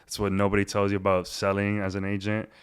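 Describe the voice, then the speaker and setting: Young guy from cuba, low voice, super smooth, rambles a lot with ums. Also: fast. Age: 20s. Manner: relaxed, rambling with many fillers. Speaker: guest, realtor. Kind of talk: casual interview chat.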